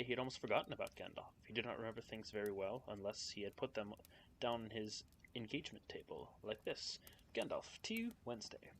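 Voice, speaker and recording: A young man reads a children's novel aloud to his stream audience from home, his voice mid-pitched. He sounds a little nervous and giggly, stopping to chat with viewers. A faint room hum sits behind him. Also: a bit bright, poor audio. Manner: giggly, nervous, chats with viewers between passages. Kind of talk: casual live-stream book reading. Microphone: home streaming mic.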